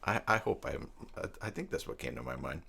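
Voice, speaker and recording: A man's voice: extremely low pitch, very animated, a little fast, gravelly, pushed and bright, slightly rough. Man in his fifties, casual tutorial host. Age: 50s. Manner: conversational, rambling with asides. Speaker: host of a tutorial live stream. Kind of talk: casual live-stream tutorial talk.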